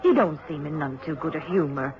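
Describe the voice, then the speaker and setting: A woman's voice: deep voice, animated, fairly quick, crowd noise. A woman playing a forthright, motherly inn landlady, chatty and folksy, in a radio drama. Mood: advising. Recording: dull radio recording, crowded pub behind.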